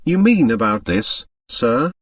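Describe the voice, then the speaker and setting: A man's voice: mid-range voice, sing-song, takes it slowly, no room sound, studio quality, far from the mic. A man voicing a deferential male private secretary in an audiobook, polite, tactful and precise. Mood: respectfully firm. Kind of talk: audiobook character voice.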